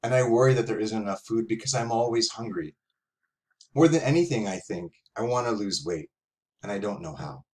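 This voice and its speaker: A middle-aged man reading a verse novel in the words of a lonely, self-conscious schoolboy, in a measured, reflective way. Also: on the low side, darkish tone, lightly acted. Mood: reflective, earnest. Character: self-conscious, lonely, imaginative seventh-grade boy narrator. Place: small room at home, indoors.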